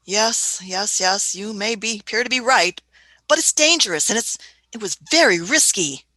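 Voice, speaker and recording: Woman acting as a fussy old adventurer, very animated. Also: mid-range voice, normal speed, dry recording. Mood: cross, flustered. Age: older. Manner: dithering, repeats words, punctuates with questioning hums. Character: elderly, fussy, musing female scientist-adventurer, gently scolding. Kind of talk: radio play acting.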